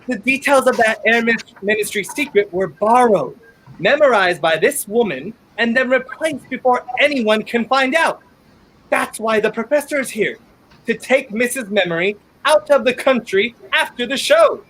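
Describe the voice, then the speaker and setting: A young man playing a determined, quick-thinking hero on the run in a 1930s-style radio play. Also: extremely high pitch, expressive, fairly bright tone, slight background noise, rough recording. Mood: excited, urgent. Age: young adult.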